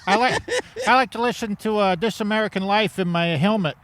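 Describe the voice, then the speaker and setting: Brief: man improvising an earnest dopey player, voice sitting high. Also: a little fast, a bit bright. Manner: pleading, goofy, earnest. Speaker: comedy partner playing a football player. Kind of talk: improvised comedy sketch.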